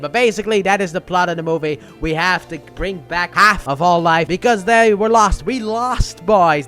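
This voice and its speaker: Higher-pitched man reviewing movies. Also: a bit bright. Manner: enthusiastic, emphatic, drawn-out stressed words. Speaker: film reviewer, channel host. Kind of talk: casual scripted-loose movie review commentary.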